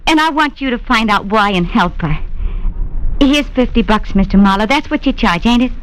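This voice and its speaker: High-pitched American actress for an anxious, loyal waitress; plain, slangy working-class speech in vintage radio style. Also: female voice, animated, normal speed, close to an everyday voice, rough recording. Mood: earnest. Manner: plain-spoken, slangy, earnest. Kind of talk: 1940s radio drama acting.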